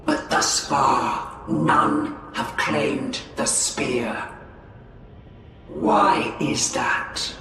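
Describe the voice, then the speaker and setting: An actress playing an ancient, grandiose alien sage in a game cinematic, portentous and declamatory. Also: female voice, rumbling low, animated, extremely slow, creaky, full character voice. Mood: ominous, brooding. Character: ancient, grandiose narrator addressing a cursed world. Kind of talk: dramatic game cinematic monologue. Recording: cavernous echo, distant, noisy.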